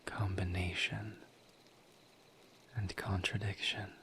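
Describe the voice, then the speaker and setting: A man voicing a gentle, patient misery demon in a breathy whisper, as an empathetic confidant in an intimate audio roleplay. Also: low-pitched, level tone, slight background noise, heavily acted. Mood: gentle, consoling. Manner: slow, halting, soothing, with long pauses.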